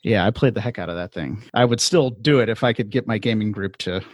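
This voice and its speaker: A man's voice: fairly low pitch, quick pace, no echo. Casual male podcast host, dry close sound. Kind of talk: casual interview chat.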